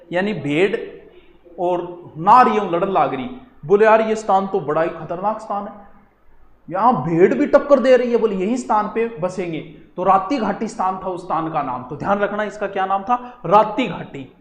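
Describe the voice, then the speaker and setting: A man's voice: sits high, a bit bright. A man with a Rajasthani accent giving an informal exam-coaching lecture, addressing students directly and slipping into local dialect. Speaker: history teacher for exam coaching. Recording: roomy, echoing sound.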